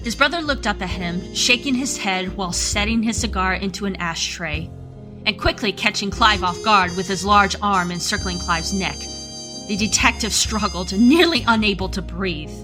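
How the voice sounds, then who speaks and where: A woman's voice: medium pitch, normal speed. A woman reading scripted story narration for a radio play. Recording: music playing behind the voice.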